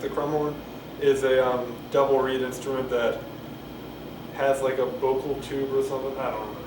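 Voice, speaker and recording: A man doing a casual cold reading of a play, halting, repeating phrases and musing aloud between lines, recorded on a camcorder. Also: medium pitch. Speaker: amateur reader. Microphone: camcorder at a distance. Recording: roomy, distant camcorder mic, loud noise.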